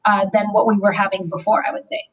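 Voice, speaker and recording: A woman speaking at a middling pitch, calm and explanatory, laying out her points step by step. She talks as a banker in a webinar interview, pausing on fillers as she thinks. Her delivery stays steady and considered. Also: dull audio. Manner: measured, explanatory, frequent "um" fillers. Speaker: banker on sustainability.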